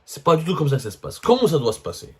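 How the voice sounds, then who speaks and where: Emphatic higher-pitched Algerian man, political commentary. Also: wildly swooping pitch, rapid-fire, husky. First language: French. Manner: emphatic, repeats phrases for stress. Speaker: host of a political commentary show. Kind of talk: opinionated political commentary addressed to viewers.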